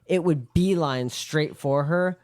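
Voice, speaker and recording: Male co-host, a bit high-pitched, blunt and emphatic, dry close mic. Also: slow pace, darkish tone, dry recording. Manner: blunt, emphatic, argues points. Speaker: podcast co-host. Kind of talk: casual chat.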